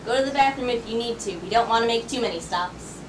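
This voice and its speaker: A woman playing a brisk, worrying, practical mother in an amateur radio play. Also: a little high, natural voice. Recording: distant mic, noisy room.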